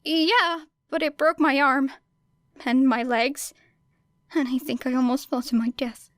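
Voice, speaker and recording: A woman's voice: high voice, steady tone, unhurried, no room sound, clean studio sound, pitched above the performer's own voice. A woman voicing a nervous, earnest teenage boy student who talks modestly and hesitantly in an audiobook. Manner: quiet, hesitant, apologetic.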